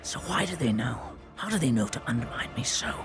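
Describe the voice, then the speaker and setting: A man voicing a paranoid, ruthless nobleman who interrogates a servant with slow, whispered threats. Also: medium pitch, big pitch swings, music in the background, natural voice. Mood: angry. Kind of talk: voice roleplay in a virtual world.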